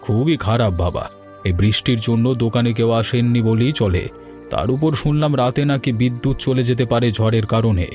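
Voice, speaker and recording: Man playing worried village father, sweet-shop keeper, cartoon story dialogue, mid pitch, faint music. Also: a little fast, a little mellow, lightly acted.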